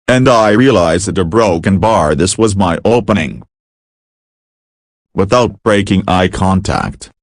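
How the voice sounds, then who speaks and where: A man delivering scripted first-person story narration in a deliberate, measured way. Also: lowish voice, no room sound.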